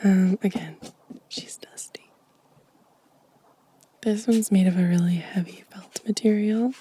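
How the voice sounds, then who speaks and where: A woman giving a gentle, unhurried ASMR show and tell in a soft, mostly whispered, breathy voice, close to the microphone. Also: mid-range voice, fairly even intonation, a little hiss or hum. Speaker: ASMR video host.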